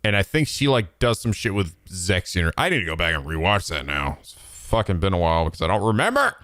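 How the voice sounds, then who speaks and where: A man's voice: sits low, sing-song, brisk, fairly bright tone, no room sound, studio quality. A man giving casual, playful reaction commentary to game cutscenes, joking and swearing freely. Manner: profane, jokey, playful.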